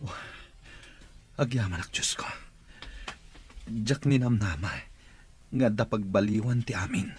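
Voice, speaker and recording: A man's voice: on the low side, slow pace, long pauses, slight background noise. A man acting in a radio drama as an earnest, solemn male boss in recovery, with an Ilocano accent. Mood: grateful, hopeful.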